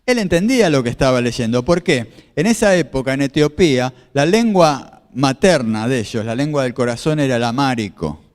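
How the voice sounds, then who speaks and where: A male pastor preaches in a plain middle-pitched voice. He explains passages step by step, repeats himself and asks his audience questions. A long reverb of a hall trails each phrase. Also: expressive, moderate pace, few pauses. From Argentina. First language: Spanish. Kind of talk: sermon with slides.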